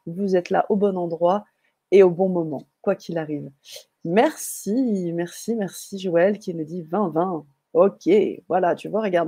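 Woman hosting a live video call, gravelly mellow voice, dry sound. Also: mid-range voice, no echo. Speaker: host.

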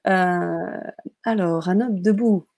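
Woman host reading chat comments, gravelly low-key voice, lots of euh. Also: slow, dry recording, studio quality. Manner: warm, enthusiastic, hesitant filler-heavy reading. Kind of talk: hosting a live stream, reading viewer comments.

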